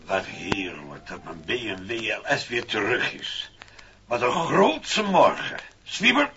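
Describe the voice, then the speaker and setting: An actor playing a genial, dignified older small-town mayor in a 1960s comic radio play, hearty and effusive. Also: male voice, mid-range voice, very animated, slow pace, music in the background. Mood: delighted. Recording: muffled, hissy 1960s vinyl single.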